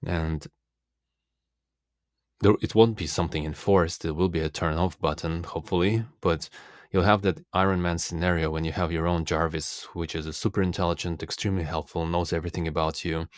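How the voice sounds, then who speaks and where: A man whose first language is Russian speaks in a very low voice with little melodic movement. He delivers a philosophical self-help monologue, earnest and deliberate. The sound is dry and close, free of room reflections. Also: steady tone, no echo. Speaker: self-development coach and speaker.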